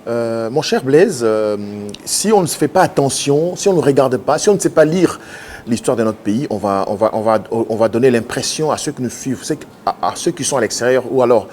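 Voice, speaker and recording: A man, answering as a politician guest in an earnest, halting way with many filler sounds. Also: mid-range voice, animated, darkish tone, slight background noise. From Democratic Republic of the Congo. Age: 50s. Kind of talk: TV interview answers.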